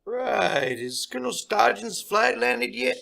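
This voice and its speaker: A man playing a brisk, commanding senior military officer in an audio drama, with a clipped, authoritative manner. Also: very high voice, animated, vocal fry, sharp tone, heavily acted. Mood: businesslike.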